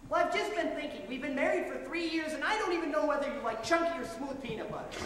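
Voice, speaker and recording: A man's voice: very high-pitched, fast talker, a bit bright, recorded from a distance. A young man playing a naive, needy husband and hapless chancellor on stage, with a chirpy, earnest, childlike manner. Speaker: stage actor. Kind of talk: stage comedy acting. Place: theatre stage with audience. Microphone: distant audience camera. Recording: very echoey, noisy theatre recorded from afar.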